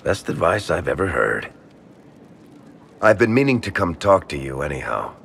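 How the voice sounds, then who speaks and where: A very low male voice for a worldly dwarf rogue in a fantasy game. He is wry and plain-spoken with a troubled streak, and his pitch moves around in a lively way. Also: normal speed, creaky, a little hiss or hum, heavily acted. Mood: wry, sincere. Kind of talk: video game dialogue acting.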